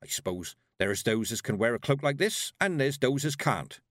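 A man's voice: medium pitch, big pitch swings, moderate pace, a bit bright, dry recording, studio quality, voice pushed up. A man voicing a proud old female witch, with a curt, haughty manner and rustic turns of phrase, in a storybook reading. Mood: grudging.

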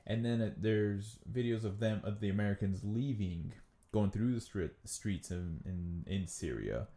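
A man's voice: fairly low pitch, measured pace, warm tone, roomy sound. A man co-hosting a podcast, talking loosely and profanely, often trailing off and restarting. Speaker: podcast co-host. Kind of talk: casual chat.

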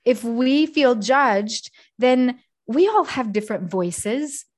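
A woman's voice: fairly high pitch, expressive, slow. A woman hosting a podcast, thoughtful and affirming, building on her guest's ideas. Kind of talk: conversational podcast interview.

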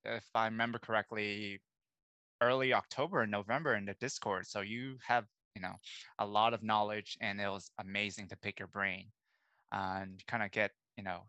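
A man with a fairly low but bright, forward voice hosts a casual podcast. The sound is close and dry, and he talks in a relaxed conversational style. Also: moderate pace, clean tone, no echo. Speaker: podcast host.

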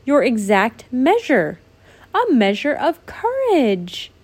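A woman's voice: high-pitched, wildly swooping pitch, slow pace, a little mellow, husky, exaggerated voice. Kind, loving fairy tale princess played by a woman reader, sisterly, for a storybook. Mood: excited. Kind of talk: storybook read-aloud.

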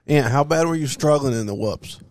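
A man's voice: mid-range voice, fairly quick, a little mellow. A young man hosting a podcast in casual banter, teasing and swearing freely. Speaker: podcast host.

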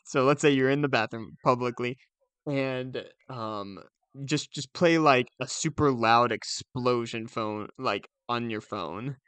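A man's voice: medium pitch, a little slow, fairly bright tone, smooth, no echo. Clean-voiced young-sounding podcast man, dry close sound. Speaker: podcast co-host. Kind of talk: casual comedic chat.

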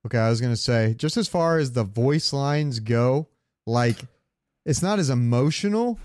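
A man's voice: mid-range voice, expressive, moderate pace, darkish tone, dry recording, studio quality. A man hosting a casual gaming podcast, talking in an opinionated, rambling way with plenty of swearing. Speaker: podcast host.

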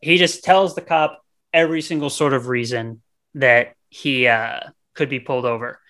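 A man's voice: medium pitch, steady tone, normal speed, pushed and bright. A man co-hosting a podcast, casually retelling a movie in a rambling, slangy, profane way. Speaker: podcast co-host. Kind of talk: casual movie review banter.

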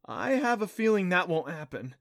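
A man's voice: high voice, animated, normal speed, a bit bright, no echo, pitched above the performer's own voice. Boy adventurer, read by a man, wary, sardonic, grumbling, nervous, fantasy audiobook character, dry close sound. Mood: grim.

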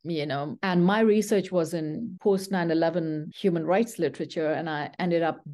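A woman's voice: on the low side, normal speed, a little mellow. A middle-aged woman from Pakistan, whose first language is Pashto, speaking candidly in an interview as a guest professor. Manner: candid and discursive, frequent restarts. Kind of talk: conversational interview answers. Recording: podcast interview.

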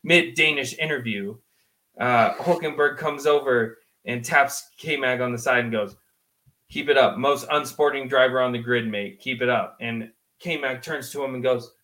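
A man's voice: medium pitch, moderate pace, pushed and bright. A man co-hosting a sports podcast in casual discussion, explaining things chattily with plenty of slang.

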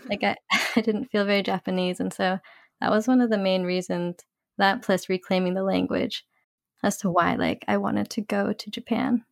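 A young woman recounts her experiences loosely as a podcast guest, leaning on filler words. Her pitch is average. The sound is dry and close. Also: steady tone, normal speed, creaky, dry recording. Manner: casual storytelling with "like" fillers. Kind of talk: casual conversation.

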